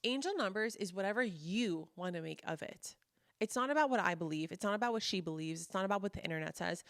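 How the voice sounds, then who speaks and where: A woman in her thirties telling personal stories in a chatty, casual monologue, full of fillers and animated quoting of others. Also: mid-range voice, fast talker, no echo, clean studio sound. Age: 30s. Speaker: podcast host and coach.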